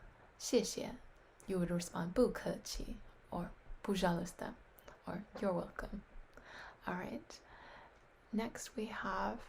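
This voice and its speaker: A woman's voice: fairly low pitch, vocal fry, darkish tone, a little hiss or hum. A woman practicing foreign phrases aloud, often whispering, repeating words and doubting her own pronunciation. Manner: unsure, self-deprecating. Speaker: language learner practicing phrases.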